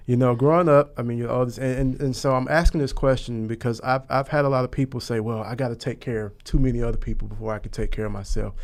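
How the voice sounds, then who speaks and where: A man's voice: medium pitch, steady tone, fast, few pauses. A male host interviewing a guest, with a habit of affirming her with "mm-hmm" and "right.". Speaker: podcast host. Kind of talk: interviewer asking questions.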